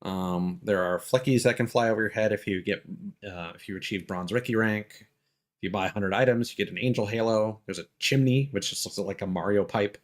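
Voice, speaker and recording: A man's voice: on the low side, a little fast. A man doing casual live gameplay commentary as a streamer, thinking aloud as he plays. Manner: thinks aloud, mild swearing. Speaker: video game live streamer.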